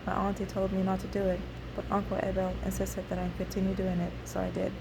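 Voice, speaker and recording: Flat-toned woman reading her memoir. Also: mid-range voice, creaky, noisy background. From Nigeria. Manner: steady, unhurried reading with occasional restarts. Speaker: memoir narrator.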